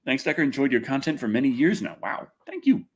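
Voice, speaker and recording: Animated husky man rambling on a livestream. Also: mid-range voice, fast talker. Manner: excitable, repeats words and phrases, swears freely. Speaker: livestream host and film reviewer. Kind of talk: casual, rambling livestream chat with viewers.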